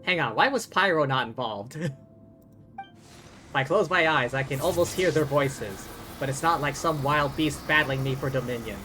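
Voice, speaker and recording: A man's voice: medium pitch, normal speed, pushed and bright, soft background music. A man livestreaming a video game, reading dialogue aloud and adding wry, casual commentary. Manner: wry, sweary asides.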